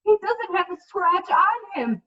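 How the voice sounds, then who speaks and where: A woman's voice: very high voice, big pitch swings, a little slow, voice pushed up, full character voice. A woman playing an exasperated, defensive single mother in a comedic radio play, recorded on a distant, muffled mic. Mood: relieved, tender.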